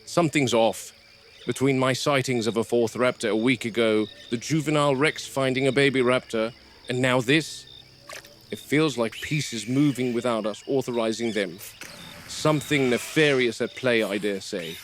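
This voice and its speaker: A man playing a wary, gruff middle-aged game warden in a found-footage horror drama, speaking in a clipped, deliberate way. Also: medium pitch, lightly acted. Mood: grave, urgent. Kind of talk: found-footage horror acting, hushed muttering and radio call. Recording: noisy VHS-style found footage.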